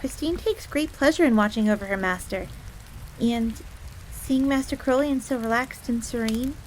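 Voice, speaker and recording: Casting: prim tsundere fox maid, played by a woman, higher-pitched, formal, jealous and devoted servant, audio roleplay with rain behind. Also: moderate pace, close to an everyday voice, poor audio. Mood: composed, devoted. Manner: formal, stiff, speaks of herself in third person. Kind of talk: scripted audio roleplay acting. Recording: steady rain noise in background. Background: rain ambience.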